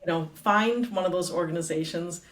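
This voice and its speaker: A middle-aged woman talking as a guest and author in a casual interview, chatty and quick to laugh, with lots of fillers. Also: lowish voice. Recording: roomy echo, distant from the mic.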